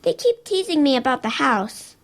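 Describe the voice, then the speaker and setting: A timid little schoolgirl, played by a woman in an audio drama. The voice is extremely high and moves up and down in a lively way. She sounds hesitant, plaintive and worried. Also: moderate pace. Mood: worried, hurt.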